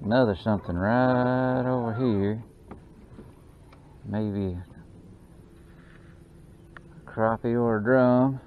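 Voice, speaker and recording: A man giving excited running commentary while fishing from a small boat on a lake, recorded on a boat-mounted action camera. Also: mid-range voice, extremely slow, long pauses. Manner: excited, drawling exclamations and mutters to the fish. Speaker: fishing channel host. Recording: dull action-camera audio with faint noise.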